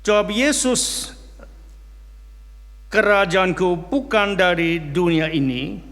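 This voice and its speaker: Guest preacher, man, English-speaking, non-native accent in Indonesian, slightly high, slow and hesitant, reverberant hall. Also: fairly bright tone. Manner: slow, halting, with frequent hesitations. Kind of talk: sermon with prayer and scripture reading.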